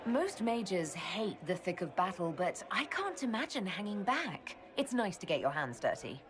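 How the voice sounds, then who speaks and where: A woman's voice: mid-range voice, very animated, moderate pace, background noise, lightly acted. A woman playing a composed, scholarly female mage leader in a video game, speaking in an articulate, polished way. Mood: chatty, informative. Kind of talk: video game dialogue acting.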